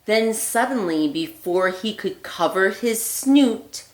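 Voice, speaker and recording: A woman teacher reading a children's picture book aloud, phrasing deliberately and expressively with emphasis on the rhymes. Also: medium pitch, expressive. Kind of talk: read-aloud storytelling for young children. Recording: echoey room.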